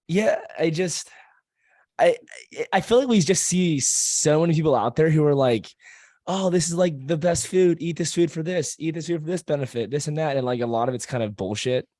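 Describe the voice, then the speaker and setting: A man hosting a casual podcast, a bit hoarse from screaming, who laughs a lot, swears freely and jokes around. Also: a little high, fairly quick, a little mellow, no echo, studio quality. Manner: laughs often, swears freely, loose joking delivery. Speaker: podcast host.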